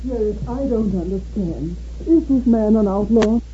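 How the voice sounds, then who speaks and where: A woman's voice: mid-range voice, unhurried, natural voice. Actress as anxious frontier woman, tinny radio. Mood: uneasy. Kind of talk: 1950s radio western acting. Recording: tinny old radio recording, faint noise.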